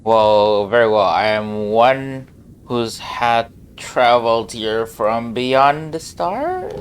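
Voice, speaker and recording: A man's voice: mid-range voice, big pitch swings, takes it slowly, clean tone. A man giving casual, offhand live reactions as he streams a video game. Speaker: game livestreamer.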